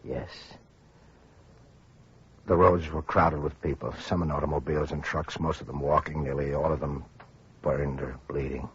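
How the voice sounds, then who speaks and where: A man's voice: extremely low pitch, steady tone, moderate pace, long pauses, slightly rough, heavily acted. A man playing a grieving middle-aged survivor of an atomic war in a somber, subdued 1940s radio drama style. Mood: somber, weary. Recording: muffled old radio broadcast recording.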